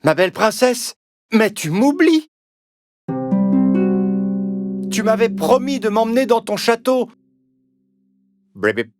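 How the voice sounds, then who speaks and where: A man voicing a polite, pleading talking frog that punctuates its speech with croaks, in a children's storybook cartoon. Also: high voice, very animated, measured pace, music in the background. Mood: pleading, indignant.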